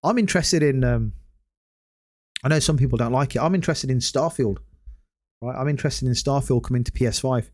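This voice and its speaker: Hoarse man, podcast host, rambling and hesitant, lots of ums, mid-pitched voice. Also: brisk, pauses often, darkish tone. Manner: rambling, hesitant, many restarts and fillers. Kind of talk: casual solo livestream podcast.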